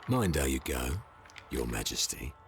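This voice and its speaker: A man's voice: rumbling low, big pitch swings, measured pace, background noise, exaggerated voice. A man playing a hearty adventurer hero in a game. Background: loud music.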